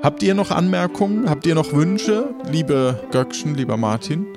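Guy podcast host narrating, slightly high voice, guitar music clearly behind. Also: expressive, moderate pace. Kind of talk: audio drama narration and casual outro.

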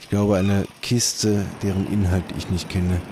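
A man playing a polite, slightly pompous trader who haggles with salesman-like drawn-out courtesy in an improvised audio play. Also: deep voice, flat delivery, noisy background. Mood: courteous, sly.

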